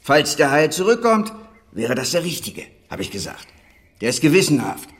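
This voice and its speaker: Radio drama: middle-aged master farmer, gruff but hearty, wry, plain-spoken, naturalistic delivery. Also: male voice, fairly high pitch, sing-song, moderate pace. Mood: matter-of-fact, wry. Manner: earthy, colloquial, wry, chuckling asides. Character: gruff, hearty older dairy master, fatherly to his former apprentice.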